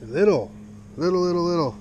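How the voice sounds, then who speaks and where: A man vlogging while he fishes, chatting and joking with a friend, excited and swearing now and then. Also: high voice, brisk, highs cut off. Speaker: fishing vlogger. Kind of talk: casual chat while fishing. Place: outdoors on a pond, from a small boat and the shore. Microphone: action camera worn on the body. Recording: outdoor action camera with background noise.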